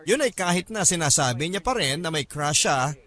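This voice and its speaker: A man narrating a confiding first-person love story for radio in a measured, polite manner. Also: on the high side, animated, pushed and bright. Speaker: narrator reading a listener's love letter. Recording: clear music bed behind narration.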